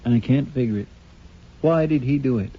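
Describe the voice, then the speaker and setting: A man's voice: medium pitch, normal speed, close to an everyday voice. Earnest frontier sidekick with a man acting. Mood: sorrowful. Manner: deferential, plain-spoken. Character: earnest, simple, loyal frontier marshal's helper. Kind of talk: 1950s radio western acting. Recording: dull old radio broadcast, music behind.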